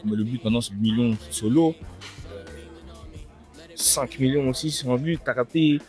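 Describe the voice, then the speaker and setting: A man's voice: mid-range voice, moderate pace, darkish tone. A young man from France, a rapper guest, chatting casually and slangily over a meal in a restaurant. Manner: laid-back, slangy, repeats himself. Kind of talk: casual interview chat. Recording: music playing in the background.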